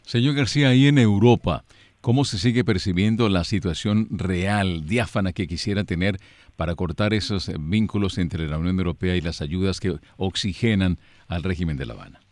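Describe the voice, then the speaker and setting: A man's voice: deep voice, moderate pace, a little mellow, no echo. A man as a news anchor, putting long formal questions to a guest.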